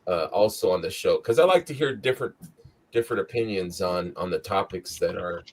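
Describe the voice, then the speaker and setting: Male live-show host, low-ish voice, hoarse and scratchy, loose rambling delivery. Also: lively intonation, fairly quick. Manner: rambling, tired but upbeat. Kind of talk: casual live-stream chat.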